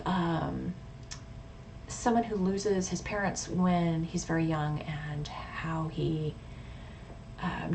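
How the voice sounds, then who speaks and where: A woman talking casually and reflectively to viewers about her hobbies, thoughtful and given to correcting herself and adding asides. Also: mid-range voice. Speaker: video blogger. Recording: clear background noise.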